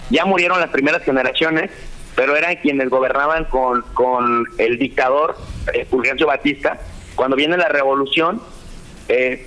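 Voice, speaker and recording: A man's voice: fairly high pitch, a bit bright, rough recording. A man in his thirties from Mexico, a guest professor lecturing on a political show from a home office, speaking over a video call. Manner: lecturing, with frequent "eh" fillers. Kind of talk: political talk show discussion.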